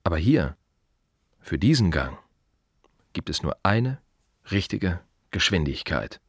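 Deep-voiced, deliberate gravedigger. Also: male voice, big pitch swings, breathy edge, no echo, studio quality, exaggerated voice. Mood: reflective, intense. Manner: measured, deliberate, short clipped phrases with pauses. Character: male gravedigger and cemetery gardener, earnest, proud, reflective. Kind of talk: radio play monologue.

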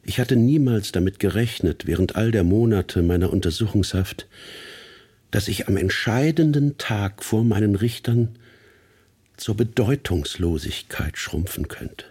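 An actor narrating a radio play as a grave literary storyteller, measured, solemn and theatrical. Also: male voice, lowish voice, animated, warm tone, slightly rough. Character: grave, literary male narrator of a criminal's life.

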